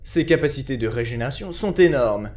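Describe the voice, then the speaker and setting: A man's voice: medium pitch, expressive, brisk, a little mellow. A male narrator with a mid-range voice reads from a script. His delivery is formal and clinical, in the manner of an official report.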